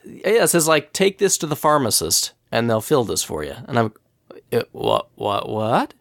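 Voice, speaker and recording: A man's voice: medium pitch, normal speed, gravelly, no room sound, studio quality. A man hosting a podcast who has a cold and sounds a little nasal and scratchy, chatting casually and laughing often as he tells stories. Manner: chatty storyteller, laughs often, animated retelling. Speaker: podcast host.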